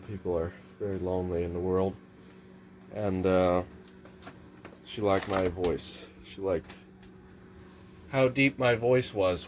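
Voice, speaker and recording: A man with a noticeably nasal, somewhat low voice rambles through a casual home vlog, restarting his sentences and cursing offhandedly. He wanders from thought to thought. A faint background hum sits under the voice. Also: darkish tone. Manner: meandering, restarts often, swears casually. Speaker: vlogger. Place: home kitchen near a microwave.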